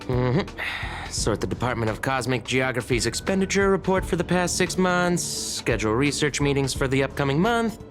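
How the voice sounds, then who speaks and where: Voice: medium pitch, moderate pace, lightly acted. An actor voicing a chatty, weary, teasing researcher in a video game, with sighs and muttered asides. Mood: weary, bossy. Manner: expressive, sighing, muttering asides. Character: chatty, weary, teasing station researcher, a grumbling office senior. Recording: studio voice over game music.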